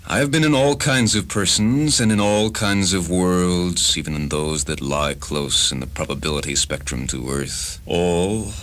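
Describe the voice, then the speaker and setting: Deep, grandiose visitor from the far future. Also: male voice, fairly bright tone. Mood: relishing, grandiose. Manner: grandiose, deliberate, relishing suffering. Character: parasitic time traveler from the far future inhabiting a man, fervent, eloquent. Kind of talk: radio drama acting over music. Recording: voice over a music bed. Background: clear background music.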